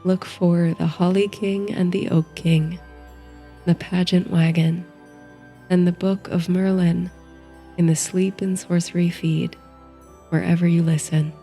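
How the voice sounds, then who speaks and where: A woman narrating a sleep story like a guided meditation, in a gentle, soothing, unhurried manner. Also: lowish voice, flat delivery, soft background music.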